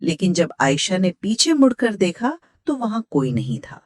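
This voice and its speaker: A woman's voice: mid-range voice, sing-song, normal speed, no echo, clean studio sound. A woman with a Pakistani accent hosting and reading a scripted horror story. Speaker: host, horror story narrator.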